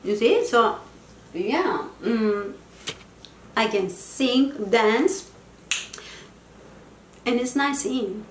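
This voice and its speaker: A woman's voice: on the high side, animated, very slow, long pauses, roomy sound, slight background noise. A young woman with a Latin American Spanish accent, a native Spanish speaker, talking casually and playfully to her viewers about self-care, dropping Spanish words into her English. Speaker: vlogger. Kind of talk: casual self-help talk to camera.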